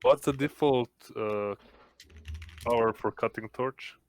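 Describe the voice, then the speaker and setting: A man's voice: mid-range voice, slow, dry recording. A man chatting casually as a player in a tabletop roleplaying game.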